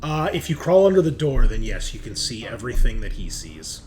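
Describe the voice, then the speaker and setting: A man's voice: mid-range voice, sing-song, a little fast, a crowd in the background. A man narrating a tabletop horror game as game master, in a conversational way. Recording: noticeable background noise.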